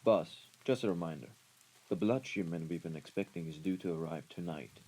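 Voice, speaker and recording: Man playing a deep-voiced, menacing mafia henchman. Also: mellow, slightly rough. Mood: calm, deferential. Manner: formal, measured, deliberate wording. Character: menacing, composed mafia right-hand man, formal and dutiful. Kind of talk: scripted audio roleplay acting. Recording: rain and ambience behind the voice.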